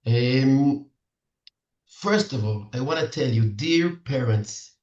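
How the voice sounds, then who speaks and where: A middle-aged man with an Israeli accent, a native Hebrew speaker, coaching parents in halting, earnest English full of restarts over a video call. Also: mid-range voice, expressive, darkish tone. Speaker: dating coach for singles. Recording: distant, roomy, dull video-call audio.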